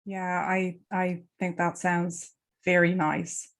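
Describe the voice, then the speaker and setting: A woman's voice: lowish voice, monotone, slow pace. A woman from Ireland with an Irish accent, chatting casually as she interviews a guest for a book club.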